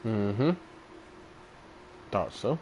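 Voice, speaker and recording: A man's voice: on the low side, expressive, very slow, darkish tone, slight background noise. A man live streaming a video game, reacting casually in murmurs and reading bits of on-screen text. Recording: clear game ambience behind voice. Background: video game audio.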